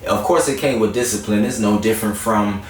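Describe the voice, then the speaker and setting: Low male voice, slangy casual storytelling, singer being interviewed, distant echoey mic. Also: a little fast. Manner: slangy, conversational storytelling with frequent check-ins. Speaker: guest R&B singer. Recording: echoey room, distant mic, faint noise.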